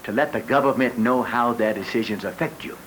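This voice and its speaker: A man's voice: mid-range voice, brisk, a bit bright, lightly acted. An older American man playing a kindly, encouraging retired schoolteacher in a scripted educational film, explaining things patiently. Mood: earnest, encouraging. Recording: faintly noisy 1980s 16mm film soundtrack.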